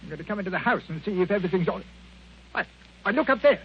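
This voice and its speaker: A high-voiced, blustering older British man in a vintage radio play. He is miserly, indignant and faintly menacing. The recording is dull, with some noise behind it. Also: expressive, very fast. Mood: grumbling, indignant. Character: irascible, miserly, menacing husband turned murderer. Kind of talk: mid-century radio drama acting.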